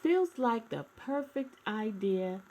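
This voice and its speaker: A woman voicing eager, imaginative little boys from a storybook in an excited, emphatic manner. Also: a little high, slow, highs cut off, background noise. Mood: determined.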